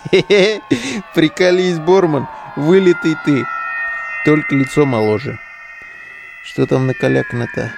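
An actor in a modern audio drama playing a foul-mouthed, mocking relic digger who talks in coarse slang. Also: male voice, a little high, steady tone, measured pace, gravelly, background noise. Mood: jeering amusement. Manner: slangy, coarse, jeering banter.

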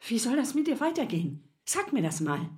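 A woman's voice: sits high, sing-song, a little fast, a little mellow. An actress playing a worried, scolding middle-aged mother in radio play dialogue.

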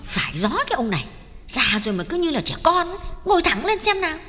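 A man voicing a gossipy village woman who mocks and nags in a high, lively voice. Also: fairly quick, big-room sound, faint music behind, heavily acted. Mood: scolding. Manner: mocking, nagging.

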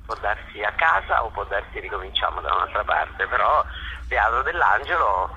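A middle-aged man talks in a conversational interview. His voice sits a little low but is very sharp and forceful. He tells stories with rhetorical questions, over faint background noise. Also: big pitch swings, moderate pace, highs cut off. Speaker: interviewed theatre actor and director.

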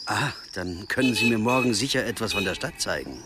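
An actor playing an awkward young architecture graduate abroad in a radio drama, laconic and flippant. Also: male voice, on the low side, lively intonation, brisk, very noisy, natural voice. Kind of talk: German radio drama acting.

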